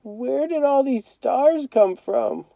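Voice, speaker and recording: A man's voice: extremely high pitch, lively intonation, slow, vocal fry, dark tone, no echo, pitched above the performer's own voice, heavily acted. A man voicing a small frightened child in a storybook, timid and pleading. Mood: scared.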